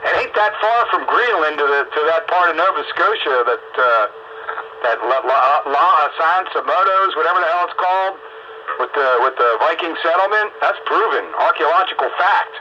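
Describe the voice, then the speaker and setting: A man's voice: a little high, animated, moderate pace, bright, forceful tone. A man chatting casually in a folksy, opinionated way, with salty colloquial phrasing and emphatic rhetorical questions. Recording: muffled lo-fi radio audio, clear background noise.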